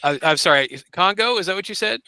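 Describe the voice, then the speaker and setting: A man teaching a basic English class over a video call, patiently modeling phrases slowly for his students. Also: high voice, expressive, a crowd in the background. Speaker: ESL teacher. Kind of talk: encouraging classroom instruction.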